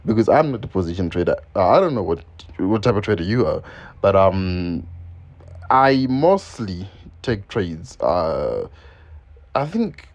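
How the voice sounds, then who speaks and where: A man's voice: sits low, very animated, unhurried, lo-fi sound. A man from Zimbabwe, English not his first language, talking loosely through a screen-share trading lesson with many ums and repeated phrases. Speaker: forex trading educator. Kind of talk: screen-share market analysis.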